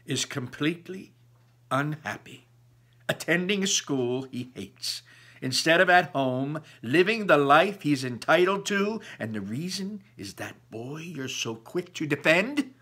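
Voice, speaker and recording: A man's voice: a little high, animated, unhurried, bright, forceful tone, breathy edge, pitched above the performer's own voice, heavily acted. A man voicing a wounded, angry, protective mother from a storybook, scolding her child with emphasis. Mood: hurt, angry.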